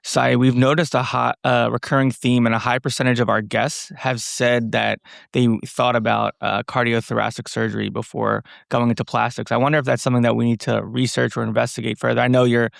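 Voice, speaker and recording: A man co-hosts a podcast in casual conversation, his mid-pitched voice heavy with fry and creak. It is recorded dry and close. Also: flat delivery, fairly quick, dry recording. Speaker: podcast co-host.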